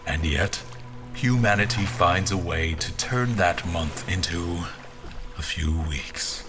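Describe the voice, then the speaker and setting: Male, very low voice: a formal royal officer narrating a sci-fi sea drama, measured and literary, music underneath. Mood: measured, reflective. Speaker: narrator and character actor. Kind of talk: first-person scripted audio drama narration.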